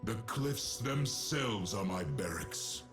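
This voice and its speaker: Casting: a proud fantasy game emperor, commanding and theatrical, with swooping intonation over music. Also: male voice, lowish voice, animated, takes it slowly. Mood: proud, declaiming. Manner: grand, formal, commanding declamation. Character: imperious male emperor, proud, commanding, ancient. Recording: music behind the voice.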